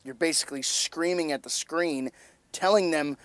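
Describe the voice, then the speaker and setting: A man's voice: fairly high pitch, moderate pace, a bit bright, dry recording. A man giving enthusiastic film scene commentary as a host, with emphatic, dramatic phrasing.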